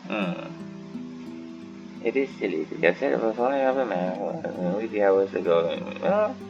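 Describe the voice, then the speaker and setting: A man's voice: deep voice, big pitch swings, unhurried, vocal fry, highs cut off. A man giving casual playthrough commentary as a gaming host, muttering and thinking aloud. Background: game music.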